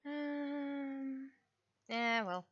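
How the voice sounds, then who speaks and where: A woman livestreaming casually while she works, muttering to herself and questioning things aloud in an expressive way. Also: sits high, extremely slow, dry recording, dull audio. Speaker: livestreamer, webcomic and visual novel creator.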